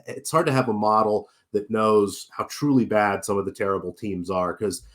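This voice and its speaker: A man's voice: on the low side, fairly even intonation, moderate pace, a bit bright. A man hosting a basketball betting show, sounding nasal as he gets over a cold, chatting casually with plenty of fillers. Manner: chatty, many fillers and restarts. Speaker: sports betting show host. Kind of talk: casual betting analysis video.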